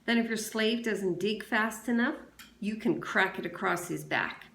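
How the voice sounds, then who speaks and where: A woman's voice: mid-range voice, a little slow, gravelly, fairly bright tone. A woman voicing a pushy, taunting teenage boy from a storybook, needling and insistent. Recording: echoey room sound.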